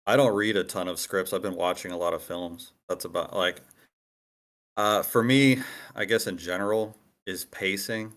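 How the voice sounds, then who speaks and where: A man's voice: low-pitched, fairly even intonation, moderate pace, a bit bright. A man in a casual group discussion, explaining his views on writing at length with emphasis and repetition. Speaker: screenwriter giving feedback. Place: online meeting room.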